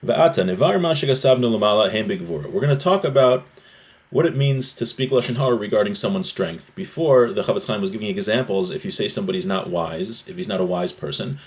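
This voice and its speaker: A man's voice: lowish voice, lively intonation, fast. A man giving a religious lesson as a teacher, reading Hebrew phrases and explaining them in a conversational, explanatory way. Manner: conversational, explanatory, often checking with "right?".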